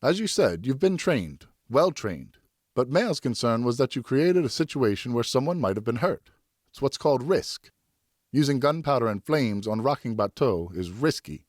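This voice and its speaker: A man playing a firm but loving father who speaks in a stern, measured way in an audiobook. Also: medium pitch, big pitch swings, no room sound, studio quality, voice pushed up. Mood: patient.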